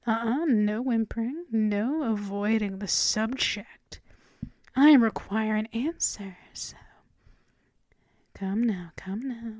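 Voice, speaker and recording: Slow, whispering vampire mistress acted by a woman. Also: on the high side, no echo, studio quality. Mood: teasing, coaxing. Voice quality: breathy whisper mixed with soft voiced speech. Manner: slow, lingering, repeats phrases, teasing endearments. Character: dominant, teasing immortal vampire mistress, possessive and coaxing. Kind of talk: ASMR roleplay, improvised intimate whispering. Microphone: close microphone.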